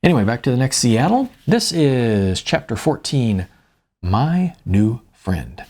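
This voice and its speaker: A man's voice: medium pitch, big pitch swings, a little slow, a little mellow. A man reading his own novel aloud in a wry, conversational storytelling manner. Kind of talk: audiobook narration with spoken asides.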